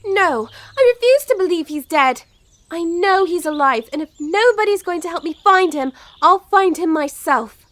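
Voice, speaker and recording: A woman's voice: very high-pitched, lively intonation, moderate pace, fairly bright tone, no echo. A woman playing a determined, polite sixteen-year-old girl in a fairytale audio play, earnest in manner. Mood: defiant, determined. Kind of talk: amateur audio play acting. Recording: faint background noise.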